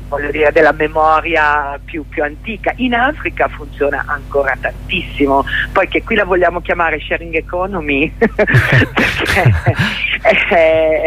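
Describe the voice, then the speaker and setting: Husky middle-aged lady chatting about her work in a radio interview, kinda muffled phone sound. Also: low-pitched, a bit bright. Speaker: interview guest, journalist and stage performer. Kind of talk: conversational radio interview, explaining own work. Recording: dull telephone line to a radio studio.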